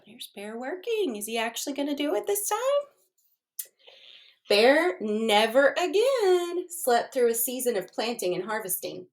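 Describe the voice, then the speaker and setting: A woman reading a children's storybook aloud expressively, addressing her young listeners as she goes. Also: fairly high pitch.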